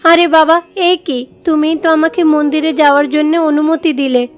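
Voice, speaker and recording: A woman voicing a meek, devout young village daughter in an animated moral story, earnest and plaintive. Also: high voice, moderate pace, faint music behind, natural voice. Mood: startled, protesting.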